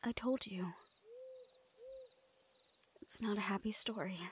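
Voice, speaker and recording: A woman playing a guarded but tender young thief in an intimate roleplay audio drama, speaking in a hushed, hesitant way. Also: medium pitch, fairly even intonation, takes it slowly, slight background noise, close to an everyday voice. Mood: somber, gentle.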